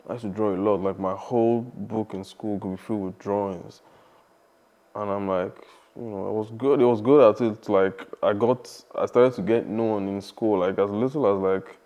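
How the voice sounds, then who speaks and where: A young man, talking casually as a podcast guest in a relaxed, rambling way. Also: fairly low pitch, normal speed, lo-fi sound. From Nigeria. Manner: relaxed, rambling, many fillers and restarts.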